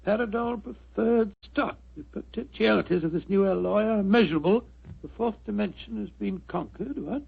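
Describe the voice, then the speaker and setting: A man's voice: sits high, moderate pace, creaky, breathy edge, full character voice. An actor playing a genial, flustered British doctor, an older man who stammers and repeats himself, in 1940s radio style. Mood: incredulous, blustering. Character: genial, flustered English doctor, fond husband and storyteller. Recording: muffled vintage 1940s radio recording.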